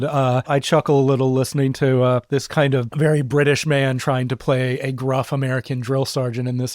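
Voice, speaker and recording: A man, a podcast co-creator, chatting in a relaxed, reflective way with frequent fillers as he introduces an episode. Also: mid-range voice, no room sound, studio quality. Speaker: podcast co-creator and sound designer. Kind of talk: casual podcast intro chat.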